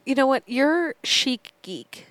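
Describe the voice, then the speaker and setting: A woman with a slightly high voice banters playfully on a podcast, teasing and cracking quick jokes. Her sound is close and dry, with no room around it. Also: lively intonation, a bit bright, dry recording, rough recording. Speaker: podcast co-host. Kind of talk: casual comedic chat.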